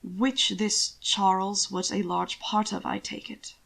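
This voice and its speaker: A woman plays a proud nobleman in a storybook romance. He speaks slightly low, with dry, formal courtesy and a probing edge. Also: level tone, slow, vocal fry, fairly bright tone, full character voice. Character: proud, probing aristocratic grandson, an alpha lord.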